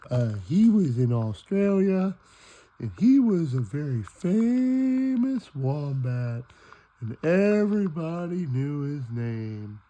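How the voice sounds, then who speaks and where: A man's voice: on the high side, very animated, slow pace, dark tone, lo-fi sound. A man voicing a sleepy, muddled wombat who stumbles hesitantly through stories in an improvised puppet show. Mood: drowsy. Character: drowsy, bumbling wombat puppet, a muddled storyteller.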